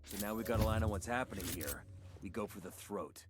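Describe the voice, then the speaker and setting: An actor playing a gruff, blunt special forces squad leader in a video game. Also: male voice, fairly low pitch, a little fast, music in the background, natural voice. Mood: determined.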